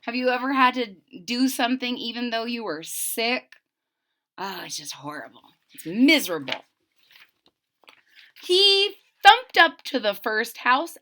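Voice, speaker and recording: A woman reading a picture book aloud to children in a dramatic, animated way, stopping to explain things. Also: a little high. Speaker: children's story reader. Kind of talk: expressive read-aloud with explanatory asides to children.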